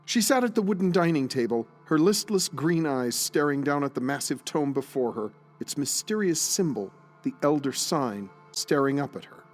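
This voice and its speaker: A man's voice: on the high side, animated, normal speed, few pauses, faint music behind. A man reading scripted story narration as the narrator of an audio drama, in a solemn, literary storytelling manner.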